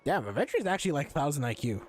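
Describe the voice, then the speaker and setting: A man reacting casually to a game as a streamer, thinking out loud and swearing freely. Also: a little high, sing-song, fast talker. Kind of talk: casual live gameplay reaction. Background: faint game music.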